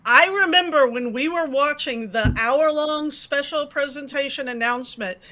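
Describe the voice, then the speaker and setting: A woman panelist in casual podcast talk, animated and thinking aloud in long runs. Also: high voice, moderate pace.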